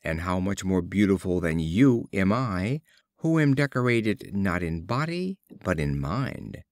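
A man voicing a wily, flattering, smug fox in a storybook, with honeyed, theatrical phrasing. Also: low voice, unhurried, dry recording, studio quality, heavily acted.

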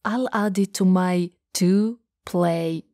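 A woman acting a friend's warm, teasing goth older sister in an intimate, soft-spoken ASMR roleplay, sometimes slipping toward a whisper. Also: fairly low pitch, steady tone, slow, gravelly, no room sound, studio quality. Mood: fond, teasing. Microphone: close studio microphone.

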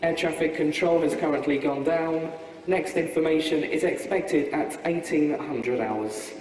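A man's voice: fairly high pitch, measured pace, a little mellow. A man making a formal public address announcement to passengers. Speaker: airport announcer. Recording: distant echoing tannoy, faint noise.

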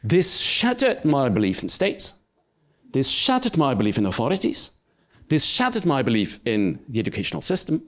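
A man's voice: mid-range voice, wildly swooping pitch, normal speed, a bit bright. A middle-aged man from Switzerland, a research professor, telling a personal story to an audience in a crowded cafe room on a handheld mic, earnest and emphatic with some hesitation. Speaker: research professor speaking at an event.

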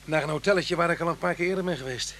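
A man's voice: on the high side, very fast, a bit bright, close to an everyday voice. An actor playing a courteous but stubborn, reserved man in a Dutch radio play, speaking in a hesitant, defensive way. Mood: polite, reluctant. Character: reserved, stubborn, courteous man keeping kind strangers at arm's length. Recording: noisy old radio drama recording.

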